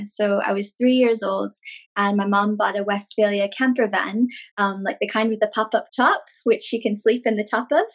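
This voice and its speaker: Female guest, 30s, from California, relaxed and talkative. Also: mid-range voice, vocal fry. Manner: chatty, rambling with many fillers and laughs. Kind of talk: casual interview chat.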